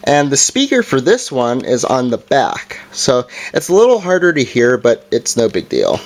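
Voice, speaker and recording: A man's voice: medium pitch, huge pitch swings, normal speed. A man giving an informal, hands-on product review in a casual explaining style, recorded indoors. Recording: background noise with handling clicks.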